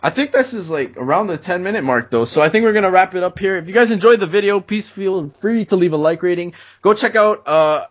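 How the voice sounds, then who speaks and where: A man's voice: sits high, animated, a little fast, few pauses. A young man hosting a commentary show, chatting casually with a guest. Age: young adult. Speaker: host of a commentary video series. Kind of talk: casual chat.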